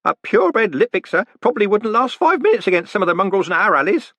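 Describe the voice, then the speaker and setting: A man voicing an elderly, excitable postman in an audiobook, chatty, folksy and deferential. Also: high-pitched, quick pace, no echo, voice pushed up, full character voice. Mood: cheerful, chatty. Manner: folksy, colloquial, eager. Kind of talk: audiobook character voice.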